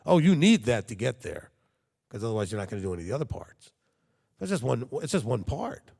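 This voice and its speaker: A man's voice: medium pitch, big pitch swings, brisk, creaky, warm tone, husky, long reverb tail. A man preaching a sermon to his congregation, talking conversationally and checking in with frequent rhetorical questions. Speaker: congregation leader.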